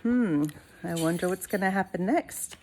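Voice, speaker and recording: A woman reading a storybook to children like a teacher, expressively, pausing to put questions to the listeners. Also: on the low side, darkish tone, a little hiss or hum. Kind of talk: storybook read-aloud for children.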